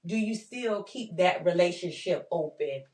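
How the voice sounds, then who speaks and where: Low-voiced chatty woman host, echoey room. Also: lively intonation, unhurried, darkish tone. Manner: chatty and affirming, tag questions like "right?", casual grammar. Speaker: interview host. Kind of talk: conversational interview. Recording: roomy sound, mic at a distance.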